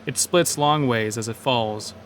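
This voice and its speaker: A man's voice: mid-range voice, a little slow, fairly bright tone, slight background noise. A man narrating a scripted first-person horror story as an uneasy logging worker.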